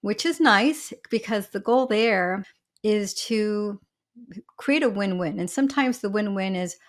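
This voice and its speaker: Husky-voiced woman attorney explaining plainly. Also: mid-range voice, unhurried. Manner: talkative, explains with everyday examples and asides. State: husky from allergies. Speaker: family law attorney, guest. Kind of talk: podcast interview, explaining law.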